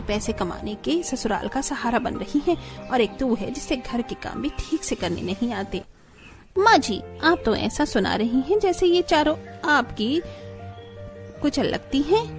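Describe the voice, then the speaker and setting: A woman voicing expressive village women in a cartoon family story, with emphatic delivery. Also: a little high. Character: village women, spirited young and scolding daughters-in-law and mothers-in-law. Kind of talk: Hindi cartoon story acting.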